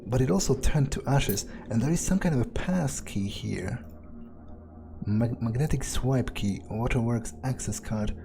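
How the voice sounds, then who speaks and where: A man playing a weary, foul-mouthed vampire who thinks aloud in a halting, musing monologue, as in a game playthrough. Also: medium pitch, gravelly, background noise. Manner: halting, musing, casually profane. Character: weary, foul-mouthed male vampire muttering his thoughts. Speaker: gaming video creator playing in character.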